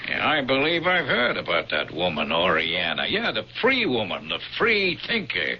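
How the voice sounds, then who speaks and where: A gruff Roman centurion in an old radio drama. He is blunt and rule-bound with a sympathetic streak, and his pitch swings dramatically. The recording is dull, with some background noise. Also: wildly swooping pitch, normal speed. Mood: sardonic.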